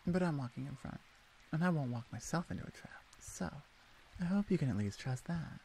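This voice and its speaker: A woman voicing a smug, teasing orc shaman in an ASMR roleplay, soft-voiced and evasive. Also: low-pitched, lively intonation, fairly quick, creaky, slight background noise. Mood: sly, dismissive.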